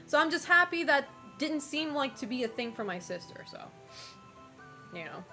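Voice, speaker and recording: A woman's voice: sits high, big pitch swings, normal speed, pushed and bright, faint music behind. Chatty young woman streamer, laughing. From United States and Japan. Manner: chatty, frank, laughs often, many fillers. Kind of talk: casual chat reading viewer messages.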